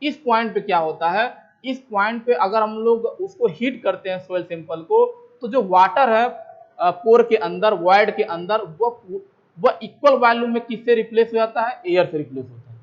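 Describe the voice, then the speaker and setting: A man's voice: high voice, normal speed. An Indian man lecturing as a teacher, explaining step by step, repeating points and asking questions that he answers himself. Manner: repetitive, asks and answers own questions. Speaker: civil engineering lecturer. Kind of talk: explanatory lecture mixing Hindi with English technical terms. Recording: dull, lo-fi microphone sound.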